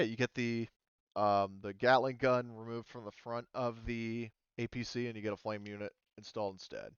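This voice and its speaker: Low, smooth-voiced man as hesitant game master. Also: dry recording, highs cut off. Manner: hesitant, halting, thinking aloud. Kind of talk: running an online tabletop RPG, explaining rules casually.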